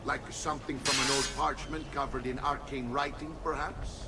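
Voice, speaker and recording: An actor playing a seasoned, middle-aged Italian uncle and combat mentor in a video game, firm and emphatic, with an Italian accent. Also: male voice, medium pitch, little pitch movement, moderate pace, distant mic, loud music behind the voice, lightly acted. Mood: grave, revealing. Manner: emphatic, instructive, old-fashioned phrasing. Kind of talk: dramatic video game acting.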